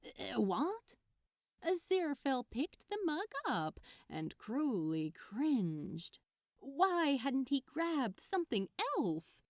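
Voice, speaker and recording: Expressive, unhurried woman narrator. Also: high voice, no room sound, studio quality. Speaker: podfic narrator. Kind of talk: scripted narration of light romantic fiction.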